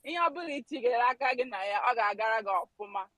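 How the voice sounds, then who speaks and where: A woman's voice: on the high side, expressive, rapid-fire, fairly bright tone, dry recording. A Nigerian woman chatting playfully in an audio chat room, greeting people and offering blessings, mixing English and Igbo. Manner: playful, laughing, blessing-giving. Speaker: participant in an audio space. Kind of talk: casual chat, switching into Igbo.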